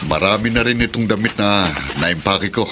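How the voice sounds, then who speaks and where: A man's voice: lowish voice, moderate pace, lightly acted. A man playing a careful, protective father in a radio drama, speaking in a cautioning manner. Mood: concerned. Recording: noisy background ambience.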